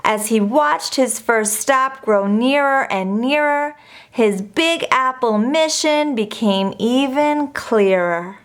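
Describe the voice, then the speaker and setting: A woman reading a rhyming children's picture book aloud in an expressive, deliberate storytelling manner. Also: a little high. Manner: measured, deliberate storytelling delivery. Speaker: storybook reader.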